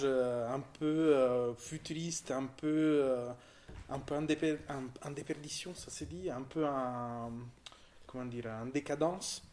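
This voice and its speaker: A man in his forties from southern Italy, with an Italian accent, talking casually about an art exhibition and often pausing to search for French words. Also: medium pitch, a little mellow. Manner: hesitant, searching for words, many restarts. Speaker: visitor discussing an exhibition. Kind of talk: casual conversation.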